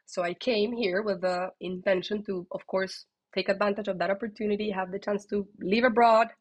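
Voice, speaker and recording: Colombian-accented woman, podcast guest, candid storytelling, mid-pitched voice. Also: normal speed. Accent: Colombian Spanish. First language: Spanish. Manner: lively and candid, with frequent fillers and restarts. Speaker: guest, tech executive.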